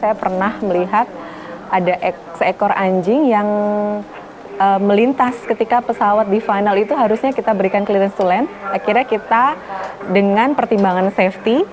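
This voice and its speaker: Indonesian woman, mid-pitched, over clear music. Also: moderate pace, rough recording. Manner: hesitant, mixes in English terms. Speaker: air traffic controller. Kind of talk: interview answer with English jargon. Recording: interview with clear background music.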